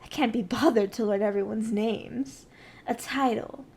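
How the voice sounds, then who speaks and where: A woman's voice: a little high, measured pace, darkish tone, breathy edge, full character voice. A woman playing a haughty, condescending evil sorceress queen in an ASMR roleplay, with an imperious, theatrical and mocking delivery. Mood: smug, possessive.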